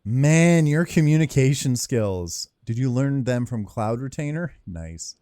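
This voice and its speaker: A man's voice: medium pitch, lively intonation, a little slow, mellow, no echo. A laid-back man hosting a game playthrough, casually commenting and reading text aloud. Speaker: gaming video host.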